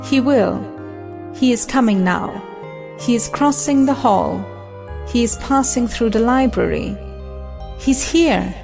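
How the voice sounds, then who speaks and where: Actress as a slow-speaking, defiant young woman. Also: a little high, gravelly, music in the background. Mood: fervent. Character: defiant, idealistic, independent young woman in love. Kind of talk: audio play acting of an old stage drama.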